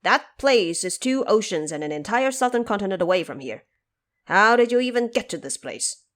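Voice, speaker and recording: A woman voicing a stern, wise old male patriarch in an audio drama, commanding and deliberate as he lectures. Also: mid-range voice, expressive, voice pushed up, close to an everyday voice. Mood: stern, questioning.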